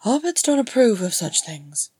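A woman's voice: medium pitch, normal speed, a little mellow, breathy edge, dry recording, pitched above the performer's own voice. A woman voicing a prim, flustered, stubborn male hobbit in a story, with a reserved, fussy manner. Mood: grudging, flustered.

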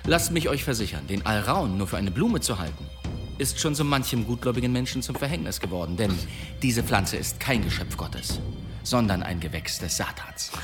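A man's voice: on the low side, lively intonation, normal speed, close to an everyday voice. A man acts out a swaggering demon hunter in a radio drama. He spins tall tales with florid pomp and a dramatically swinging melody, over prominent music. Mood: boastful, courtly. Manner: theatrical, florid, pompous storytelling. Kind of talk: German radio play acting.

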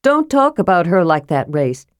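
A woman acts out a big, muscular, good-natured twin from a fantasy storybook. He speaks earnestly and protectively, with anxious eagerness and a lively, moving pitch. The sound is close and dry. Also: takes it slowly, no room sound. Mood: defensive. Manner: cheerful, earnest, apologetic.

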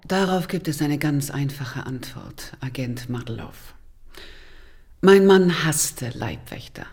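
An actress playing a composed, pointed widow of a Soviet leader in a German radio crime drama. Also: female voice, deep voice, lively intonation, slow pace, a little mellow, exaggerated voice.

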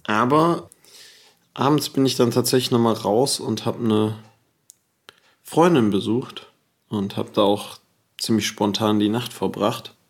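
A man recording a casual spoken diary, pausing to think as he recounts his days. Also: lowish voice.

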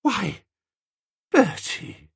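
A man's voice: extremely slow, no room sound, clean studio sound. A man voicing a merry, coy, gushing young woman, the niece, in a comic audiobook.

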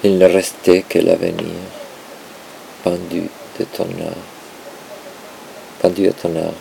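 A man giving a performative poetry reading, with a halting, dramatic delivery in short broken phrases and a gravelly, creaky voice. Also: low-pitched, monotone, warm tone, slight background noise, low-quality recording.

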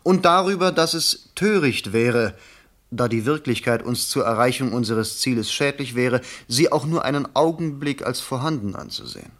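An actor playing a cynical newspaper reporter, speaking in an eloquent, measured, persuasive way in a 1970s German radio play. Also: male voice, medium pitch, a bit bright, natural voice. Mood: suave, persuasive. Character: smooth, cynical newspaper reporter coaching a politician.

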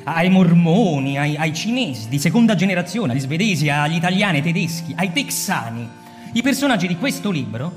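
An actor playing a cold, persuasive male fire captain lecturing a subordinate in a radio drama, smooth and insistent, sometimes hushed. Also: on the high side, big-room sound, close to an everyday voice.

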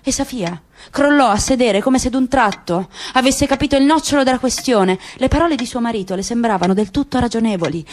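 A woman's voice: on the high side, a little hiss or hum. Woman narrating a novel on radio. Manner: measured, expressive storytelling. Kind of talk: literary radio reading. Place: radio studio.

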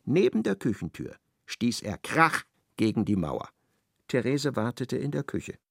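A man narrating a radio play in an ironic, expressive storytelling manner. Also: mid-range voice, a little slow, vocal fry, slightly rough, dry recording, clean studio sound.

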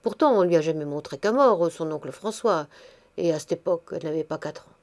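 A woman voicing a gruff but kindly old woman neighbor who fusses and takes charge in a bossy, brusque manner. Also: lowish voice, moderate pace, darkish tone, close to an everyday voice. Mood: muttering.

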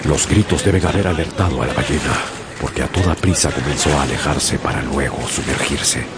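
A man narrating a seafaring story as a sailor in a radio drama, measured and storytelling. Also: rumbling low, very noisy. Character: man, sailor recounting a whale hunt.

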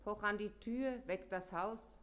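An actress in a radio play as a cold female accomplice, taunting in a cool, mocking, unhurried way. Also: mid-range voice, fairly bright tone, lightly acted. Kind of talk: German radio play acting. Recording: echoey room sound.

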